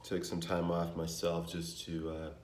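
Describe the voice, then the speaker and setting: A young man with a naturally deep voice, talking casually to his vlog audience in a relaxed, rambling way with many fillers. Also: unhurried, a little hiss or hum. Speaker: vlogger. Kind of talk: casual vlog talk to camera. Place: home in Denver. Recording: echoey room with faint ambience.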